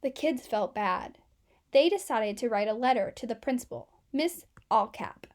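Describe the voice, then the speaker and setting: High-voiced woman reading a children's story. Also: lively intonation, moderate pace. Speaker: children's book reader. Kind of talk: expressive scripted storytelling for children.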